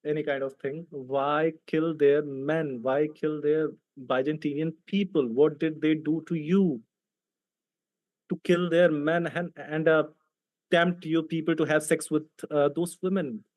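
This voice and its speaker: Higher-voiced Indian man debating. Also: fairly even intonation, measured pace, a little mellow, dry recording, rough recording. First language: non-native English speaker. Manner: confrontational, rhetorical questions. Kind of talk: argumentative debate, reading out texts.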